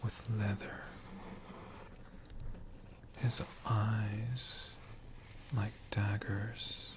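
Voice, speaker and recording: A storyteller whispering a tale for ASMR in a breathy, hushed voice, with dramatic phrasing and long pauses. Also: medium pitch, flat delivery, noisy background. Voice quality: breathy, mostly unvoiced whisper.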